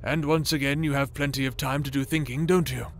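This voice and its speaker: Creaky-voiced, formal, needling man acted. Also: medium pitch, fairly even intonation, fairly quick, a little hiss or hum, close to an everyday voice. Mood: probing, taunting. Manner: formal, archaic phrasing, needling questions. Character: probing, taunting male figment of the listener's thoughts. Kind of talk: audio roleplay drama acting.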